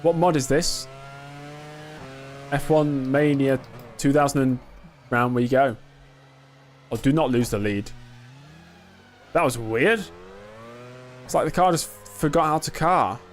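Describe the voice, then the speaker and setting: A man's voice: medium pitch, slow pace, pauses often, a little hiss or hum. A man in his twenties chatting casually on a live stream while racing, answering chat and talking himself through the pressure. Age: 20s. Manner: chatty, reads and answers chat, self-talk under pressure.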